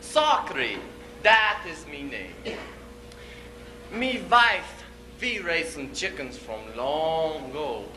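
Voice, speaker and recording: Man doing a high, animated farmer, echoey recording. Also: very slow, a little hiss or hum, exaggerated voice. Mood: rueful, amused. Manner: broken English with comically muddled grammar. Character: stout, hot-tempered immigrant farmer husband telling his mishaps. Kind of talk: comic dialect monologue.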